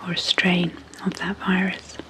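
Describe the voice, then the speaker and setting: A woman speaking softly and breathily in a gentle, measured ASMR sleep hypnosis style, leaving long pauses between short phrases. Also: lowish voice, monotone, low-quality recording. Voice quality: soft, breathy, near-whispered. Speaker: psychologist hosting an ASMR channel. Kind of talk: soft-spoken ASMR sleep hypnosis introduction.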